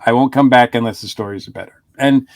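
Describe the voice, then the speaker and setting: A man's voice: mid-range voice, quick pace, no room sound, clean studio sound. A man co-hosting a casual podcast review chat, rambling with frequent restarts and chuckles. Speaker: podcast co-host.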